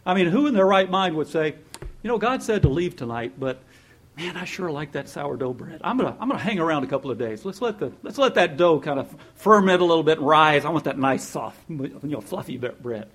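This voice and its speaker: A man preaching a sermon in a lively, conversational way, often laughing and acting out what people might say. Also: on the high side, a little fast. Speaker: pastor.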